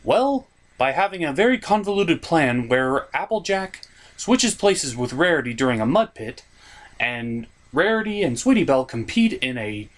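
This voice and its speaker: A man with a slightly high, lively voice delivers a scripted comic review. His pitch moves around a lot and his manner is sarcastic. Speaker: reviewer, host. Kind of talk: scripted comedic episode review.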